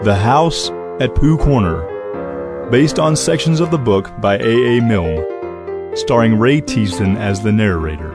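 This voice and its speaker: Male announcer over loud music. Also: lowish voice, animated, takes it slowly. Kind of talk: scripted title and credits announcement.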